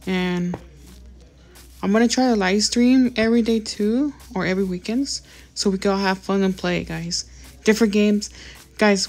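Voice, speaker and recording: A woman's voice: mid-range voice, darkish tone. A woman talking casually over her gameplay as a YouTuber, rambling and apologizing often, with many fillers. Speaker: gaming YouTuber.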